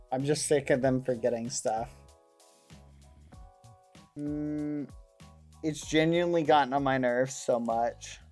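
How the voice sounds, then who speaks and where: A man live streaming, chatting casually with his viewers in a rambling, playful, mock-indignant way. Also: mid-range voice. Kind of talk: casual chat with stream viewers while gaming.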